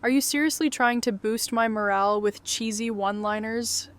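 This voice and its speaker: A woman's voice: on the high side, normal speed, clean tone, close to an everyday voice. An actress playing a bookish, self-doubting woman doctor in an audio drama, with a fussy, protesting manner. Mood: exasperated.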